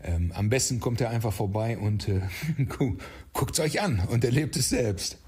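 A man with a slightly husky voice giving explanatory answers as an interview guest. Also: medium pitch, lively intonation, normal speed, darkish tone. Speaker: interview guest, audio drama writer.

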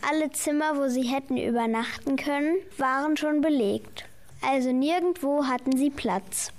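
A woman's voice: sits high, normal speed, a little hiss or hum. A woman playing a patient Christmas angel in an amateur radio play, explaining the nativity story with careful reading.

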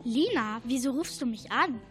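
An impatient schoolgirl, played by a female performer in a children's radio drama. Her voice is very high and bright, with an easily exasperated way of talking and faint music behind. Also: expressive, moderate pace. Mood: annoyed, curious. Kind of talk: children's radio play acting.

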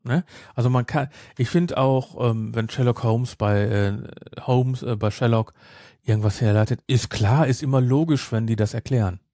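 A man's voice: medium pitch, normal speed, dark tone, dry recording, clean studio sound. A man hosting a podcast, chatting casually about an audio drama in a teasing, nitpicking way. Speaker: podcast host.

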